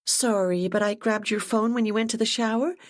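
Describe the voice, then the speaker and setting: A woman reader voicing a bubbly, excitable young woman who talks in breathless, high bursts. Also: a little fast, lightly acted. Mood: gushing.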